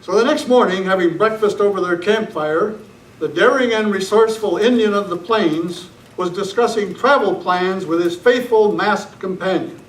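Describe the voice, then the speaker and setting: A man playing an upbeat announcer for an old-time children's radio serial, narrating and pitching the sponsor. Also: moderate pace, natural voice. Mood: storytelling. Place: club meeting room, far camera. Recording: echoey, distant camera sound, faint noise.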